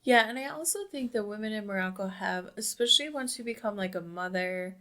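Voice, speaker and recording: A woman's voice: mid-range voice, fairly quick, bright, forceful tone. A young adult woman co-hosting a casual podcast discussion, talking emphatically and dropping "like" in very often. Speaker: podcast co-host.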